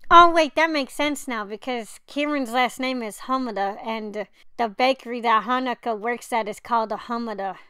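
A woman's voice: fairly high pitch, moderate pace, pushed and bright, smooth, no room sound. A woman hosting a let's play, reading a visual novel aloud and chatting casually with asides on the script.

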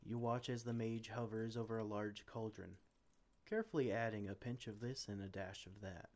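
A man's voice: lowish voice, steady tone, moderate pace, darkish tone. A man who performs roleplays, narrating and commenting for the listener. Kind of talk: scripted roleplay narration and asides.

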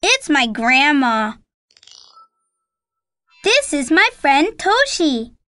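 Very high, cheerful raccoon boy. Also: very animated, extremely slow, pushed and bright, exaggerated voice. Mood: friendly. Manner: simple, clearly spaced learner phrases. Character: cheerful young raccoon boy in children's cartoon. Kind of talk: scripted English-teaching cartoon dialogue.

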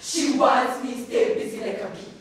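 Teen girl, Kenyan accent, high-pitched theatrical poetry recital, captured from far off in a very echoey hall. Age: teens. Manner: theatrical, emphatic, with deliberate pauses. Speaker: high school student reciting a poem. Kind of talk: dramatic recited spoken-word poetry.

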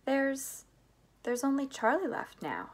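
An eager old grandfather from a children's storybook, acted by a woman. The voice is pushed up high. He sounds boisterous and a little bewildered as he exclaims. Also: big pitch swings, slow, full character voice. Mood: hesitant. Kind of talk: storybook read-aloud.

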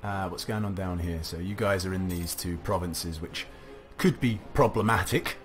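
Man, low voice, slightly gravelly, recovering from cough, casual let's play commentary, faint background music. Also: normal speed. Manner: musing aloud, chatty, self-talking. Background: faint game music.

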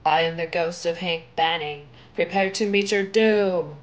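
A woman's voice: lowish voice, a little slow, natural voice. A woman voicing a menacing, vengeful male ghost in a storybook, ominous and threatening.

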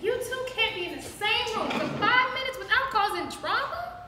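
Actress playing a high, lively young bride-to-be. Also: female voice, normal speed. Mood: bright, placating. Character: bride-to-be, exasperated young woman, affectionate but restless. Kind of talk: stage play rehearsal acting. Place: rehearsal room with stage, indoors. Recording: echoey hall, faint noise.

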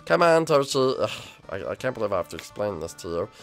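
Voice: mid-range voice, very animated, quick pace, soft background music. A performer voicing a young male prince who pleads and explains, hesitant and full of fillers, in a homemade toy show. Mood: pleading. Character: young male turtle-dragon prince, the villain's son.

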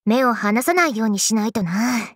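A woman's voice: fairly high pitch, lively intonation, rapid-fire, heavy background noise, lightly acted. An actress voicing a fun-loving, trendy young party girl in an anime game, exuberant and breezy with slangy speech. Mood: wry, then excited. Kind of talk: anime game character lines.

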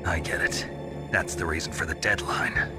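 A deep, gruff, cynical soldier hero. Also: male voice, steady tone, moderate pace, loud music behind the voice. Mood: accusing, sardonic. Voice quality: gruff, low. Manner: terse, sardonic, challenging. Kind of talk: video game voice acting.

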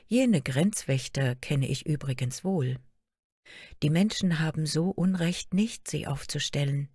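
A woman's voice: low-pitched, measured pace, mellow, husky, no room sound, studio quality. Woman voicing stately motherly Queen Fantasy. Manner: stately and soothing.

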